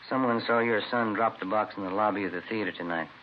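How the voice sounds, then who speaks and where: An actor playing a calm, courteous American police detective in a 1950s radio crime drama, matter-of-fact and clipped. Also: male voice, fairly low pitch, quick pace, close to an everyday voice. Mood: firm, polite. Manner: deadpan, matter-of-fact, polite. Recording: dull, noisy vintage radio recording.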